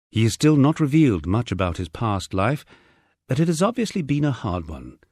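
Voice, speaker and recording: A middle-aged man narrating a humorous country memoir as an audiobook, with measured, expressive storytelling. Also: medium pitch, dry recording, clean studio sound.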